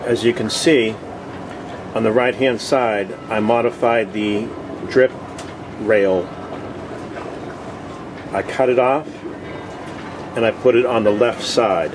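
A man's voice: lowish voice, fairly even intonation, slow pace, pauses often. Plainspoken man over noisy outdoor background. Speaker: host of a cooking vlog. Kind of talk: casual video tour narration. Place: open deck of a boat on the water. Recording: outdoor ambient noise.

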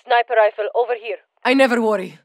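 A woman's voice: a little high, steady tone, fast, dry recording, natural voice. A woman voicing a battle-hardened female soldier operator in a game, speaking in clipped military callouts with dry humor. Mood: urgent. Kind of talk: game voice lines.

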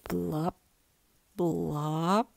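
A woman voicing a glum, resigned male fish in a rhyming children's storybook, with a mopey, drawn-out delivery. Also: deep voice, fairly even intonation, creaky, no echo, studio quality, voice pushed down, full character voice. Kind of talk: children's storybook read-aloud.

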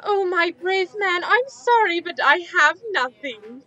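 A grasping, bullying female innkeeper, played by a woman in a radio play. Her voice is high and swings widely in pitch. She is irritable and always counting the money. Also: lively intonation, measured pace, pushed and bright, highs cut off, full character voice. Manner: scolding, fawning toward paying guests. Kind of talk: radio drama acting.

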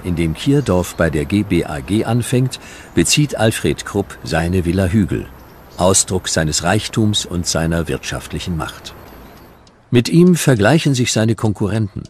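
A man narrating a scripted documentary in a measured, dramatic storytelling manner. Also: low voice, darkish tone, a little hiss or hum.

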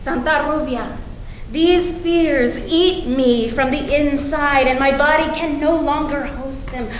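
A middle-aged woman recites a poem like a prayer, slowly and in a high voice. Her delivery is expressive and playfully solemn. The hall echoes around her. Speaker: poet reading at a tribute event. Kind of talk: dramatic poetry reading styled as a prayer. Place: stage in a meeting hall with seated audience. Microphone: stand microphone. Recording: echoey hall with some background noise.

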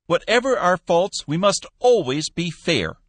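A man voicing a blustery, excitable old company boss for an audiobook, exclamatory and emphatic. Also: sits high, unhurried, no echo, voice pushed up, heavily acted. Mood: mock-earnest. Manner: exclamatory, emphatic, folksy oaths. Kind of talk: audiobook character voice.

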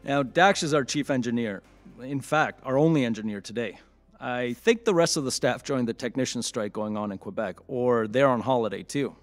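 An actor playing an upbeat, boyish radio show host who chats informally and with enthusiasm in an audio drama. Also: male voice, medium pitch, moderate pace, faint music behind, natural voice. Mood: teasing, upbeat. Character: upbeat male late-night radio host, chatty and boyish.

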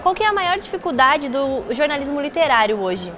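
Quick young Brazilian woman interviewer. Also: high-pitched, sing-song, a crowd in the background. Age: young adult. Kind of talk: interview questions.

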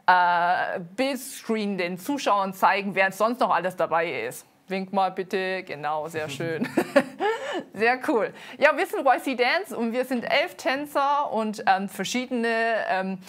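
A woman's voice: mid-range voice, lively intonation, a bit bright. Earnest woman hosting a studio show. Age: 30s. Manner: earnest, halting with many fillers. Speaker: host and dance team leader. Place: TV studio set. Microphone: lapel mic.

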